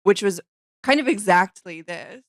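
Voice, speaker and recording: A woman's voice: medium pitch, lively intonation, measured pace, dry recording, clean studio sound. A woman as a podcast guest, chatting casually and reacting with encouragement. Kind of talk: casual chat.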